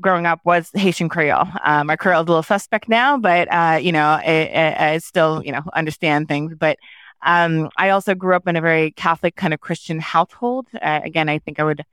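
A woman's voice: low-pitched, fairly even intonation, brisk, a bit bright, clear, resonant tone, dry recording. A woman from New York answering interview questions thoughtfully, with frequent restarts and fillers. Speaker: podcast guest.

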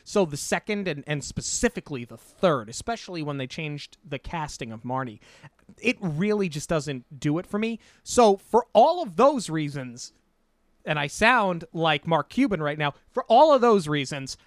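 Male podcast co-host, slightly high, opinionated and rambling, dry booth sound. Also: sing-song, normal speed, no echo. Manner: rambling, self-correcting, opinionated. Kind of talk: casual movie review chat.

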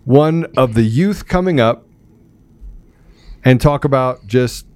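A man's voice: medium pitch, expressive, slow, a little mellow. A man hosting a casual live talk show, joking and teasing, often repeating himself as he banters.

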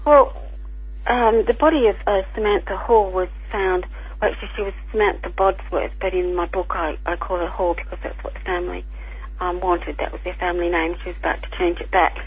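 Woman guest, croaky and raspy, over a tinny phone line; careful, explanatory. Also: fairly low pitch, steady tone, brisk. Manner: careful, explanatory, with small restarts. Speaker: guest scientist and author. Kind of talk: radio interview, explaining.

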